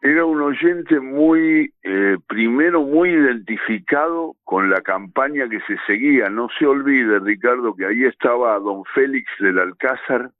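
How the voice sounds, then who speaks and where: A man's voice: medium pitch, lively intonation, unhurried, few pauses, dry recording. An older Argentine man reminiscing conversationally as an interviewee. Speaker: radio veteran, interviewee. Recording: tinny phone-like line, dry.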